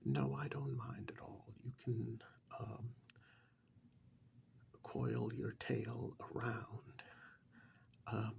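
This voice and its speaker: A man playing a polite, flustered accountant in a soft-spoken ASMR role-play, breathy and half-whispered, with a hesitant, apologetic manner. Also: fairly low pitch, very animated, drawn-out words, long pauses, a little hiss or hum, heavily acted. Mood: polite, welcoming. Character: polite, flustered male tax accountant serving monster clients.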